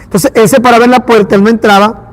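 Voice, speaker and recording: A man with a Venezuelan accent and a high voice preaches to his congregation. He acts out little dialogues as he goes. The sound rings with a long reverb from a big hall. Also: fast talker. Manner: animated preaching with acted-out dialogue. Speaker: pastor. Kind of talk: sermon.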